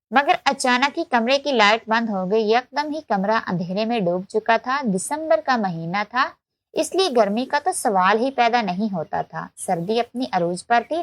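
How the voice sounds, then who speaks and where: A woman's voice: on the high side, no echo. A woman narrating a scripted, emotional first-person story as a storyteller.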